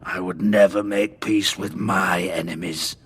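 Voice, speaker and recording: An actor playing a menacing, fanatical alien warlord in an audio drama, with a gravelly, pompous, threatening delivery. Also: male voice, deep voice, fairly even intonation, unhurried, a bit bright, exaggerated voice. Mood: scornful. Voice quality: gravelly, rasping.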